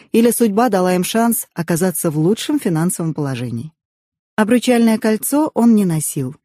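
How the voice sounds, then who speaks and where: A woman reading a novel aloud as an audiobook narrator, in expressive first-person storytelling. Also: lowish voice, dry recording, studio quality.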